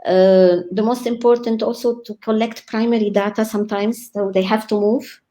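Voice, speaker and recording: A woman born in Lebanon, speaking as a guest scholar in an online interview, enthusiastic, often pausing with "uh" and laughing. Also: fairly high pitch, fairly even intonation. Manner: warm, enthusiastic, frequent laughs and hesitations.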